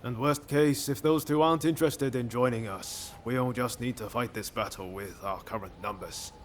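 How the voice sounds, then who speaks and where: A man's voice: medium pitch, moderate pace, a little hiss or hum, lightly acted. Man as cocky, casual male ronin. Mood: persuasive. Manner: casual, persuasive.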